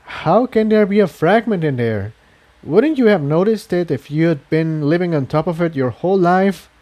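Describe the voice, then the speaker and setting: A man's voice: fairly high pitch, animated, normal speed, mellow, pitched above the performer's own voice, lightly acted. A man voicing a curious, questioning female pony adventurer in a storybook. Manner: inquisitive.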